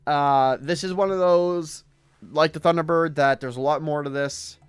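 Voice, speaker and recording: A hoarse man hosting a podcast stream, reading stories aloud and rambling to his chat. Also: a little high, steady tone, moderate pace, dry recording. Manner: rambling, talks to chat while browsing. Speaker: podcast host.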